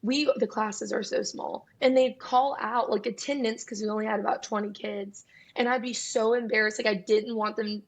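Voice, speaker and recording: A young woman with a raspy voice, a singer-songwriter guest telling her story in a casual, chatty interview with many fillers. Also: on the high side, steady tone, normal speed. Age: young adult.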